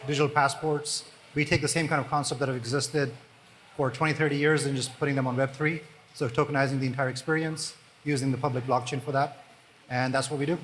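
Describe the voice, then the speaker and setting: A man, a startup founder, speaking on a conference panel with relaxed, dry humor. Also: medium pitch, fast talker, a little hiss or hum, rough recording.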